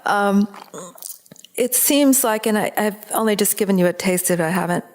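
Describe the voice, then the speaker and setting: A woman's voice: mid-range voice, moderate pace. A woman in conversation as a student questioner, sharing reflectively and tentatively as she searches for her words.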